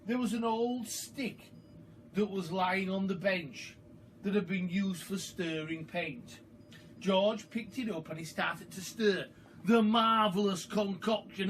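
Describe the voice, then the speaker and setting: A man reading a children's story aloud as a storyteller, in an expressive, dramatic and emphatic manner. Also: high voice. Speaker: storyteller, primary school teacher. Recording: far from the mic, faint background noise.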